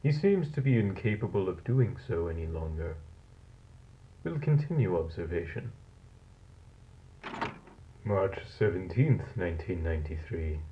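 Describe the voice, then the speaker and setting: Man acting a low-voiced, clinical scientist on tape. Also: slight background noise. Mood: clinical detachment. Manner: clinical, measured, formal. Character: cold, fascinated male scientist logging a monstrous experiment. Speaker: narrator of a found-footage audio log. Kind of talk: scripted horror narration, dated lab log. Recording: dull lo-fi tape recording.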